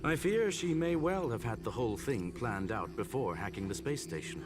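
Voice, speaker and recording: An actor playing a composed, analytical gentleman machine in a video game, speaking in formal, measured, eloquent phrases. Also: mid-range voice, expressive, over music, lightly acted. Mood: measured, knowing. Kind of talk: scripted video game voice acting.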